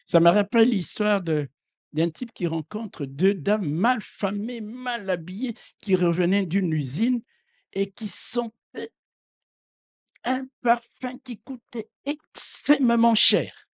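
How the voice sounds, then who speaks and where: Man, bishop preaching, non-native speaker, unhurried delivery, fervent, hallelujahs, quiet asides then shouted declarations. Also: lively intonation. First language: not French. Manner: swings from low asides to loud shouted proclamations. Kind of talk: sermon.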